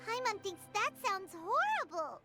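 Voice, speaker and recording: A tiny, excitable childlike game companion. The voice is squeaky and extremely high, bouncing wildly in pitch, with loud music alongside. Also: female voice, unhurried, heavily acted. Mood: baffled. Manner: refers to self in third person, squeaky.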